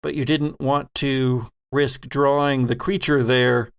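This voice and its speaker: Man, game master, unhurried delivery, very gravelly fry, dramatic tabletop horror narration. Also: mid-range voice, level tone, dry recording, studio quality.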